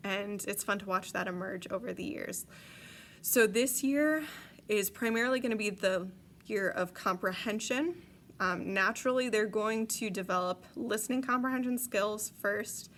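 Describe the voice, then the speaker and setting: Woman, late twenties, from the Chicago area, French teacher, enthusiastic, chatty, mid-pitched, faint background hum. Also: moderate pace, a bit bright. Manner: enthusiastic, chatty, with frequent ums. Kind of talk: recorded video message to parents. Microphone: tablet device.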